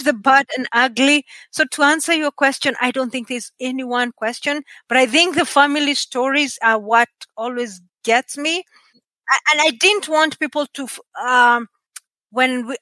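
Kenyan-accented woman guest, high and bright, earnest storyteller, dry close sound. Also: no room sound. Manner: expressive storyteller, measured and earnest. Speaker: podcaster guest. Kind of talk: conversational interview.

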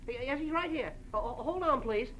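Nosy barber, old radio drama. High, swooping voice, stammering rambler, muffled hissy recording. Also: expressive, a little fast, pushed and bright. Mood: fussy, chatty. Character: chatty, fussy, opinion-hungry male barber. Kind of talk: 1940s radio drama acting.

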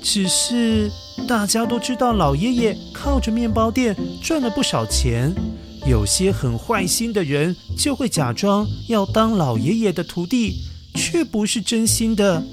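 A man narrating a children's story in a gentle, coaxing manner, speaking to young listeners. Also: sits high, lively intonation, measured pace. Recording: narration over backing music. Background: music.

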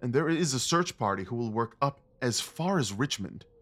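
A man acting a restless, commanding gentleman detective in an audio drama, speaking formally and with intense emphasis. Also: mid-range voice, lively intonation, normal speed. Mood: frustrated, restless.

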